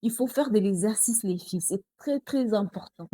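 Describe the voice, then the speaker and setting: A woman's voice: mid-range voice, lively intonation, normal speed, dark tone, breathy edge, no room sound. A young woman with a Congolese accent chatting to her viewers in a casual vlog, often calling them girls. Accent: Congolese French. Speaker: vlogger. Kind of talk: casual talk to camera.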